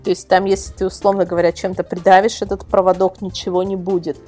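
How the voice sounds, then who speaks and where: A woman's voice: on the low side, flat delivery, quick pace, warm tone, soft background music. A woman speaking as a guest reviewer in a casual podcast discussion, emphatic and repeating words for stress. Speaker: guest, product reviewer and website editor.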